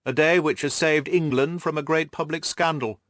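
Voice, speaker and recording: A man's voice: on the high side, fairly even intonation, moderate pace, a bit bright, no room sound, studio quality, voice pushed up, lightly acted. A man reading the part of a dignified, earnest banker in an audiobook, speaking formally. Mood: grateful, solemn. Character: dignified male banker, earnest client of the detective.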